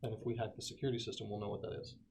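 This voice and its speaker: A man's voice: on the low side, little pitch movement, rapid-fire. A man playing a tabletop roleplaying game, casually talking through plans in character. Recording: slightly noisy room with clicks.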